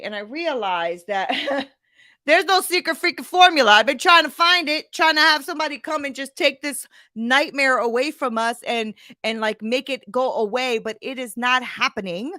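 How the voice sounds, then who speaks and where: A woman's voice: high voice, animated, moderate pace, sharp tone, dry recording, studio quality. A woman in her forties hosting a conversational motivational monologue, emphatic and blunt, with slangy phrasing and frequent rhetorical check-ins. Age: 40s. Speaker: podcast host and coach.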